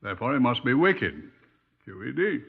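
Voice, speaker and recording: Creaky, droll senior policeman. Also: medium pitch, big pitch swings, heavily acted. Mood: sage, dry. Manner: dry, droll, deliberate. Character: wry, unhurried senior police superintendent, food-loving. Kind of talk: 1950s radio drama acting. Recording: tinny, narrow 1950s radio recording.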